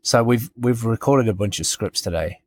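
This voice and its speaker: A man's voice: mid-range voice, normal speed, no echo. A British man co-hosting a podcast, chatting loosely and rambling with restarts and frequent laughs. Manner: rambling, self-interrupting, laughs often. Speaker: podcast co-host. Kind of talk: casual chat.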